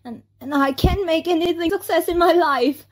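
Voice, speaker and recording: A woman voicing an insecure, easily hurt young female singer in a game cutscene, with halting, hesitant delivery. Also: very high-pitched. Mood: bitter, defeated. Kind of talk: amateur game mod cutscene voice acting.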